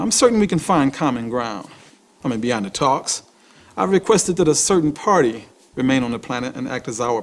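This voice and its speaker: An actor playing a resolute rebel leader and politician in a game cutscene, speaking earnestly. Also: male voice, medium pitch, natural voice. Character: resolute male rebel leader turned planetary president. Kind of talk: dramatic game cutscene acting.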